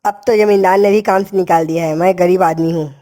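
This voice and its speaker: A poor, humble village labourer played by a man in a cartoon tale. His voice is dark and mellow, with a plaintive, earnest manner. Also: high voice, steady tone, fairly quick, natural voice. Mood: pleading.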